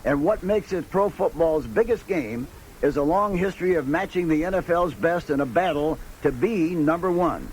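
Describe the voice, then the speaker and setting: A man's voice: fairly high pitch, animated, normal speed, a little mellow, poor audio. A man reading a scripted promotional radio commercial as an announcer. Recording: taped radio ad, faint noise.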